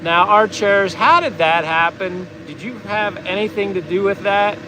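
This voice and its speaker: A man's voice: sits high, moderate pace, background noise. An American man hosting a casual vlog, talking in a rambling, easygoing way as he reads out viewer comments. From United States. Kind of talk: casual livestream chat.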